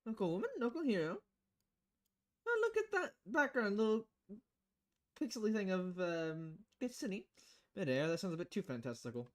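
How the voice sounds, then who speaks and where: A woman's voice: mid-range voice, big pitch swings, moderate pace, pauses often. A woman streaming a visual novel, reading the dialogue aloud with casual, playful commentary and switching voices between lines.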